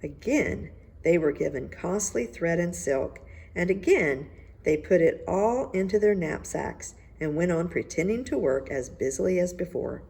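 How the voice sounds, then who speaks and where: Woman doing storybook narration, lowish voice, really animated up and down. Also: measured pace, gravelly, husky. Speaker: storybook reader. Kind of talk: expressive read-aloud fairy tale narration.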